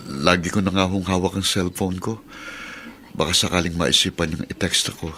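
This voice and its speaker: A man's voice: sits low, steady tone, normal speed, sharp tone, a little hiss or hum. A man playing a worried father in a Filipino radio drama, speaking politely and in a subdued way.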